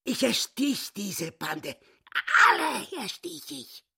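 An actor playing a boastful, touchy dwarf chess hustler in a radio play, a raspy voice and halting, self-important delivery. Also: male voice, very high voice, very animated, sharp tone, exaggerated voice. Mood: bitter, furious.

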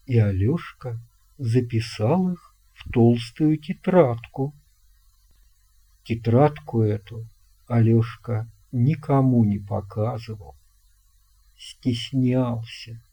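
A narrator reading a children's tale in a measured, expressive storytelling manner. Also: medium pitch, husky. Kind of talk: scripted audiobook narration of a children's fairy tale.

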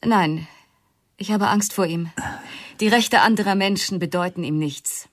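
A woman's voice: mid-range voice, a little slow. An actress playing a weary young mother in a radio play who speaks in a tense, guarded way. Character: weary young mother, fearful of her brother.

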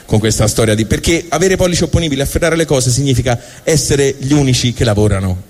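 A man with a Tuscan accent delivering a colloquial, digressive comic monologue on stage, with halting asides. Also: mid-range voice, animated, a little mellow. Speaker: comic storyteller. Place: live stage show.

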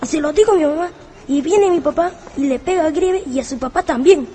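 A woman voicing a boastful small boy from a radio play, very high in pitch yet mellow in tone. He talks with defiant, childlike bravado. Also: a little fast, natural voice. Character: cocky, boastful little schoolboy. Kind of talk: radio drama acting.

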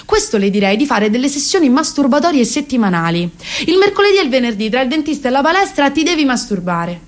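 A woman's voice: sits high, sing-song, fast talker. An actress playing an impassioned, rambling woman around thirty, hesitant and self-correcting, in a comic radio theatre monologue. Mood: frank, playful. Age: 30s. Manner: hesitant, self-correcting, mock-solemn and emphatic.

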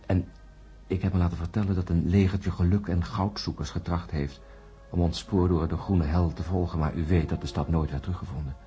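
A man playing a grim astronaut who narrates his ordeal in a radio drama, grave and measured. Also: low-pitched, steady tone, warm tone, muffled recording, soft background music, natural voice. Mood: grave, reflective. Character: grim astronaut Peter recounting his ordeal and warning of doom. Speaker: narrator and main character.

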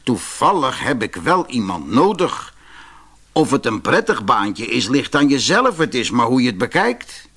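A man voicing a wise, odd bookbinder in a fairy tale, deliberate and evasive as he instructs. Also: mid-range voice, very animated, fairly bright tone, breathy edge, exaggerated voice. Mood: cagey, musing. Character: wise, odd red-bearded bookbinder, cagey and deliberate employer. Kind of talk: fairy-tale audiobook character voice.

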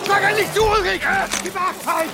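An actor playing a frantic village peasant in a hunt, yelling in a historical radio drama. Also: male voice, very high-pitched, steady tone, normal speed, over loud music. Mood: panicked.

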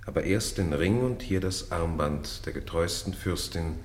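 A remorseful villain in a classical radio drama. His voice is deep, grave and subdued, ringing in an echoey space. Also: a little slow. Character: remorseful villain begging to be judged. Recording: echoey, reverberant room.

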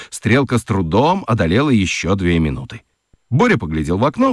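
Man reading a kids story for radio, super expressive up and down, dry studio sound. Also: lowish voice, dry recording. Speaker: narrator. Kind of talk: scripted storytelling for children's radio play.

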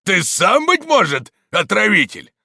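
A man voicing a brutal stonebreaker who taunts and shouts at his victims. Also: sits high, wildly swooping pitch, unhurried, creaky, dry recording, clean studio sound, voice pushed up, exaggerated voice. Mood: taunting. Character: brutal male stonebreaker leading a mob.

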